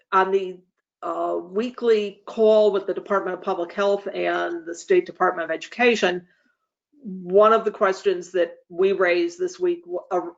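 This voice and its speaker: Meeting host, semi-formal announcements, measured formal wording, hesitant pauses, dull lo-fi webinar sound. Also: mid-range voice. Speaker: association leader hosting a meeting. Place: online webinar call. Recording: dull, lo-fi online meeting audio.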